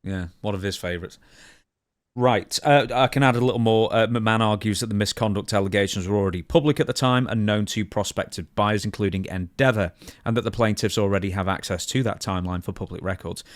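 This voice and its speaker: A man's voice: lowish voice, fairly quick, dry recording, studio quality. A man co-hosting a podcast, moving between reading out news and casual conversational commentary. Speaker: podcast co-host reading news and asking questions.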